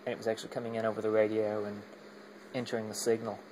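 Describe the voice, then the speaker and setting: A man's voice: fairly low pitch, completely flat delivery, very fast, background noise. A man casually demonstrating his radio gear in an unscripted, rambling way, often hesitating and trailing off mid-thought. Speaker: amateur radio hobbyist demonstrating equipment.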